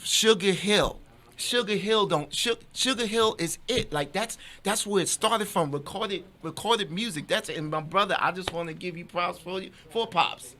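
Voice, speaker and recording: A man's voice: high voice, normal speed, sharp tone. A man speaking casually and emphatically as an interview guest, repeating phrases for stress. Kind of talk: casual chat. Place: radio studio, indoors.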